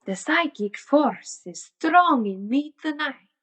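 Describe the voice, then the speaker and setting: A woman's voice: high-pitched, animated, slow pace, over loud music. A woman playing a solemn, theatrical phony psychic with a grandiose, mystical delivery in a comedy audio drama. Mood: theatrical trance.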